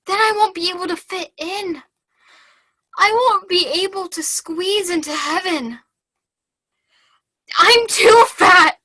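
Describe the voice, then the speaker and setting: A high, bright, childlike voice of a devout, troubled young nun, acted by a young woman. She speaks slowly and haltingly, earnest and fragile, as in a theatre monologue. The sound is dry and close. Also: no echo, heavily acted. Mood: anguished. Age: teens. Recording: video call.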